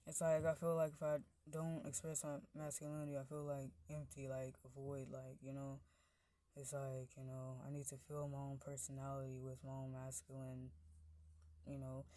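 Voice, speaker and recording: A woman with a deep voice, rambling through a casual monologue, padding every phrase with "like" and "you know" while her pitch and loudness wander. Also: completely flat delivery, moderate pace, no room sound.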